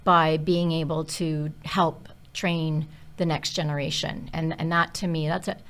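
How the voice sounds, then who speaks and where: A woman's voice: low-pitched, little pitch movement, unhurried, fairly bright tone. A middle-aged woman, a hospitality instructor, chatting casually on a podcast with many fillers and small laughs.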